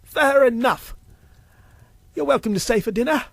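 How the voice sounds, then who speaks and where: A man's voice: extremely high pitch, normal speed, gravelly, fairly bright tone, no echo, voice pushed up, exaggerated voice. A man voicing an eccentric, mischievous old man in an audio story, theatrical and full of teasing exclamations. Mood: breezy, friendly. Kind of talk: audio story character acting.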